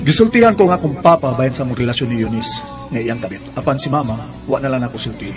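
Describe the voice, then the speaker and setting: Actor as wounded young son, Cebuano radio drama. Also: male voice, medium pitch, expressive, quick pace, music in the background, natural voice. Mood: subdued, reflective. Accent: Cebuano Filipino. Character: Tristan, grown son, wounded and indignant narrator. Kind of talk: Bisaya radio drama acting.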